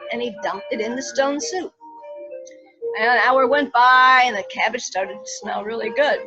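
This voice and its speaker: A woman storyteller playfully narrating a folk tale for children, theatrically, with dramatic pauses and interjections. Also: fairly high pitch, lo-fi sound, over music.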